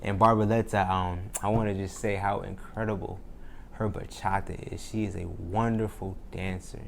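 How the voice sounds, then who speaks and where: A man's voice: low voice, little pitch movement, normal speed. A man introducing a podcast episode in an enthusiastic, informal, casual way. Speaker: podcast host. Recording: clear background ambient noise.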